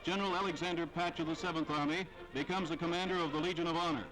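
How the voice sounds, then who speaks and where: A man's voice: a little high, fairly even intonation, very fast, low-quality recording. A man delivering scripted 1940s newsreel narration in a formal, declamatory way with dramatic phrasing. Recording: vintage 1940s newsreel soundtrack, loud music.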